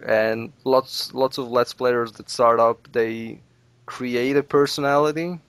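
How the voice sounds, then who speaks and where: A man's voice: medium pitch, steady tone, slow, fairly bright tone, clear, resonant tone, dry recording. A man whose first language is Russian, a Let's Play video maker giving earnest advice in a casual podcast interview. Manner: earnest, advice-giving, peppered with "you know".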